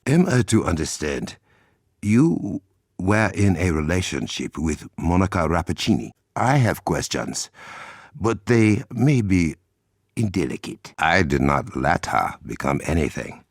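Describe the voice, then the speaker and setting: An actor playing a dignified Wakandan warrior king in a video game, with an African accent, a gravelly husky voice and formal, measured, dryly witty phrasing. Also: low voice, very animated, no echo, clean studio sound, full character voice. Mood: courteous, probing. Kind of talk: video game character dialogue.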